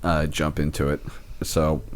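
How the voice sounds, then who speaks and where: A man's voice: very deep voice, completely flat delivery. A man in his late twenties hosting a podcast in casual chat, often stammering and restarting as he goes. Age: late 20s. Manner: halting, stammering delivery. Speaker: podcast host.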